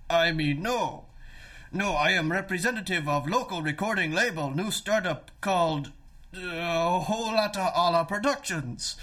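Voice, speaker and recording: Raspy, high-pitched scheming showbiz manager. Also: male voice, sharp tone, heavily acted. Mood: oily, polite. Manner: wheedling, sarcastic, bossy. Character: scheming, blustering music manager, sometimes disguised as oily foreign label man. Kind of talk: comedic radio play acting.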